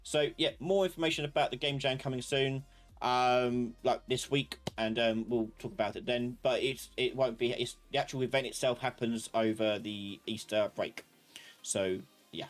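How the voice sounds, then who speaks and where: Male live-class host, medium pitch, casual and rambling, unscripted instructor delivery. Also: fairly even intonation, moderate pace, faint music behind. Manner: informal, rambling, thinking aloud. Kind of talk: casual live-stream tutorial talk.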